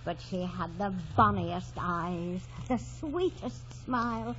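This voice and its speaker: An actress playing a soft-hearted, fretful older housekeeper in an old radio drama. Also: female voice, lowish voice, expressive, takes it slowly. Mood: worried, wistful. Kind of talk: 1940s radio acting. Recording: muffled old radio broadcast, faint noise.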